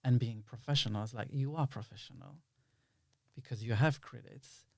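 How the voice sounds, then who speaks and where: Guy with as chatting in an interview, average pitch, really dry recording, acts out little quotes. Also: brisk, vocal fry, darkish tone, no room sound. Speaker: interview guest, filmmaker and actor. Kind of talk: casual conversational interview.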